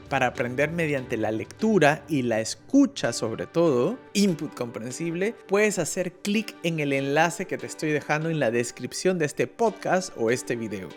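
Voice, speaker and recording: Male podcast host, fairly high voice, friendly and halting, soft music beneath. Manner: friendly, hesitant with many fillers. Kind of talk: podcast interview and product intro.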